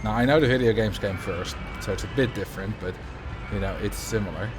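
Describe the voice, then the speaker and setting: A man's voice: lowish voice, a little fast, music in the background. A man from the Netherlands with a Dutch accent, casually chatting with viewers and thinking aloud while he plays a building game on a livestream. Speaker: streamer.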